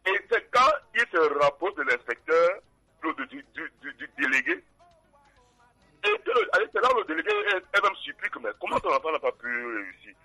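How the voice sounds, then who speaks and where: A man's voice: on the high side, wildly swooping pitch, normal speed, vocal fry, pushed and bright, no echo. A man in his forties calling into a radio show, telling a story with laughter. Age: 40s. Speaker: caller. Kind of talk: phone-in storytelling. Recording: tinny phone line.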